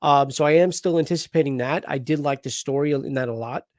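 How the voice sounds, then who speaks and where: A man hosts a casual live chat, talking in an animated, rambling way full of restarts. His voice sits a bit high, and the sound is dry and close with no room echo. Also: fast talker. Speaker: host.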